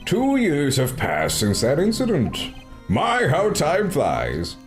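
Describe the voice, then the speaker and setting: Man voicing a grandiose older police chief, drawling, theatrical, lively pitch, slow pace. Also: soft background music, exaggerated voice. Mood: pompous.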